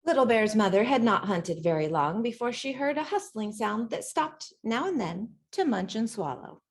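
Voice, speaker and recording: Lady reading a kids picture book out loud, really lively up and down voice, bit of gravel in it. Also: on the high side, normal speed. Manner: animated, acts out sounds and dialogue. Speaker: storybook reader. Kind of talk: expressive children's picture-book read-aloud.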